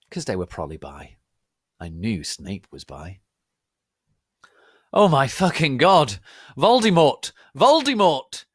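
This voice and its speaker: A man performs a dramatic comic reading of fan fiction. His voice sits fairly low but moves up and down a great deal. The delivery is exaggerated and theatrical, in a dry, close sound. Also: breathy edge, no room sound. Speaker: reader.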